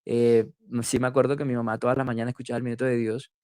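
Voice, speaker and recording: A man's voice: medium pitch, level tone, racing, a little mellow, clear, resonant tone, dry recording, studio quality. A man sharing his personal faith testimony in an interview, hesitant and full of fillers and restarts.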